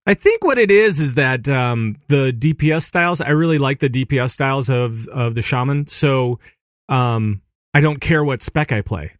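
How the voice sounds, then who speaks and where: A man's voice: medium pitch, animated, moderate pace, no echo, studio quality. A man co-hosting a podcast, talking casually and rambling, with frequent restarts and easy laughter. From Minneapolis area, USA. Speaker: podcast co-host.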